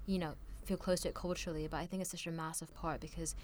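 A woman's voice: deep voice, little pitch movement, fast, darkish tone, slight background noise. A young woman from France, a podcast guest, telling stories in casual conversation with many filler words. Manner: chatty, lots of "like" fillers.

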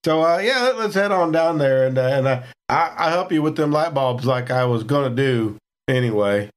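A man's voice: mid-range voice, lively intonation, normal speed, close to an everyday voice. A man voicing a lazy, swaggering male ship crewman who talks in a folksy, ungrammatical country way. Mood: folksy, sly.

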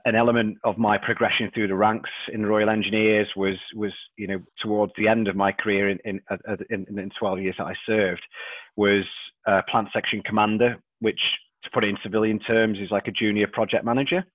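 A man in his thirties with a Liverpool accent, a podcast guest who talks haltingly and repeats words and fillers. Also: on the low side, level tone, dry recording. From England. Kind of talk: casual interview chat. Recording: dry tinny phone-like line.

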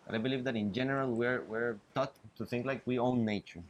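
A man's voice: mid-range voice, brisk, highs cut off. A Costa Rican man with a Spanish accent, a biologist answering interview questions, often restarting his sentences and laughing easily. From Costa Rica. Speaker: guest, biologist. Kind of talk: interview conversation. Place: riverbank outdoors. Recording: outdoors with faint ambience.

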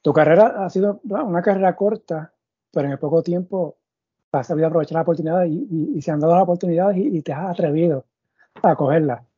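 A man's voice: on the high side, fast talker, dark tone, slightly rough, no echo. A man hosting a sports podcast in Spanish, asking long, meandering questions full of fillers and restarts. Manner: thinks aloud with many fillers and restarts. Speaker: podcast host. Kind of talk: conversational sports interview. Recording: dull, muffled audio.

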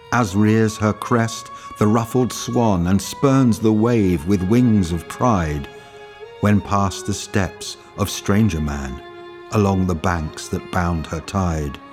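A man reading a long narrative poem aloud in a measured, deliberate recitation. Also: lowish voice, a little mellow. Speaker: reader. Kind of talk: poetry reading.

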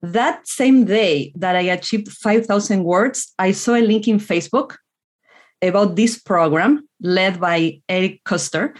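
A woman's voice: mid-range voice, unhurried. Argentine woman speaking English as a second language. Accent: Argentine Spanish. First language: Spanish. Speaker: author guest. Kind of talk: casual interview answers.

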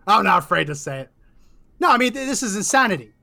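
A man co-hosting a political commentary show, animated and laughing often, saying he is losing his voice. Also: sits high, quick pace, creaky. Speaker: co-host.

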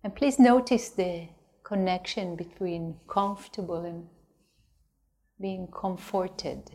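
A woman teaching a guided meditation, speaking gently and unhurriedly with long pauses between phrases. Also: lowish voice.

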